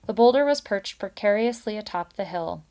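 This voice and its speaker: A woman's voice: mid-range voice, lively intonation, moderate pace, dark tone. A woman reading a children's book aloud as a storyteller and commenting on it casually.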